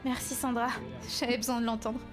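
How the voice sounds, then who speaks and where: A woman's voice: a little high, level tone, a little fast, over music. A woman improvising in-character dialogue in an online roleplay game.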